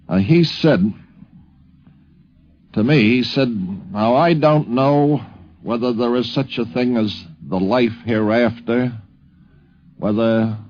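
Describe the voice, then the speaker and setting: A low-voiced man tells a story from memory, measured and unhurried, breaking his sentences into short pieces. The sound is a dull, vintage fifties radio recording. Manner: measured, deliberate, pausing mid-sentence. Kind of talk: anecdote told from memory.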